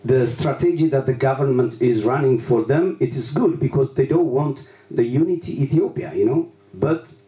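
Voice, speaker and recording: A man asking an interview question, talking in a halting way with many restarts. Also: medium pitch, expressive, recorded from a distance, dull audio. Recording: roomy, from away from the mic.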